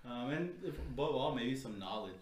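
A man's voice: mid-range voice, expressive, moderate pace, a crowd in the background. A man, co-hosting a casual podcast chat in a loose, laid-back way, swearing now and then. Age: 30s. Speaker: podcast co-host. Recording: roomy echo.

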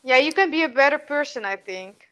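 Dutch-accented woman interviewee, higher voice, hesitant, dull muffled recording. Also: animated, a little fast, a bit bright. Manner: hesitant, many restarts and fillers. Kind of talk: casual conversational interview.

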